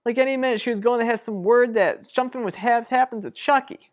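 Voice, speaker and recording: Woman playing an earnest, higher-voiced radio-soap sister. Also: natural voice. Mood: pleading. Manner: earnest, deliberate, persuasive. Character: earnest, protective sister pleading for a troubled couple. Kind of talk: revived vintage radio soap drama acting.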